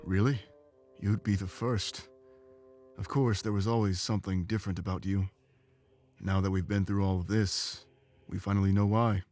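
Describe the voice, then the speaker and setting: Ancient male beast companion, played by a man, low voice, weary and remorseful, earnest game drama, music underneath. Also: fairly even intonation, normal speed, a little mellow, close to an everyday voice. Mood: grateful, earnest. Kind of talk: visual novel game voice acting.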